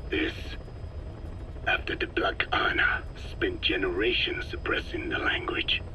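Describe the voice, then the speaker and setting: A man playing a very old, solemn Navajo scientist in a video game, speaking slowly and formally with a breathy, whispery voice full of regret. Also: low-pitched, steady tone, pushed and bright, full character voice, low-quality recording. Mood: mournful, grave. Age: older. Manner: slow, solemn, measured, formal. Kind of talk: dramatic game dialogue, reflective monologue.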